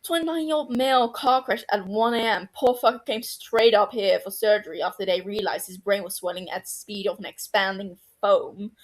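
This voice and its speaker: A woman's voice: mid-range voice, close to an everyday voice, poor audio. A woman voicing a cheeky young female surgeon who talks in a bouncy, teasing way and swears freely.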